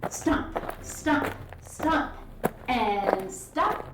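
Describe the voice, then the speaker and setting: A woman hosting a children's read-aloud and movement lesson, instructing young children in an animated, singsong way. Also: on the high side, very slow. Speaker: children's yoga and story time host. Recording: roomy echo, distant mic, music bed. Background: music.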